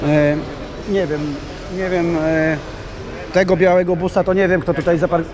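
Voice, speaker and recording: A hoarse man slowly walking through a big indoor exhibition hall, giving casual chuckling commentary for a video. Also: sits high, flat delivery, a crowd in the background. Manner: slow, rambling, chuckling asides. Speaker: vlogger touring a trade show.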